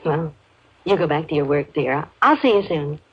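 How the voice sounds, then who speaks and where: A woman's voice: deep voice, expressive, normal speed, husky. A woman playing an anxious, doting suburban mother in 1950s radio drama style, speaking hesitantly and trailing off. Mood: uneasy, reassuring. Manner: hesitant, breaking off mid-sentence. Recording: muffled, noisy 1950s radio recording.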